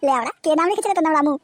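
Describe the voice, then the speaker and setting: A woman's voice: high-pitched, fast, dry recording, close to an everyday voice. A woman voicing a cocky, foul-mouthed, lovestruck young man in a comedy cartoon, with boastful, teasing banter. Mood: cocky, excited.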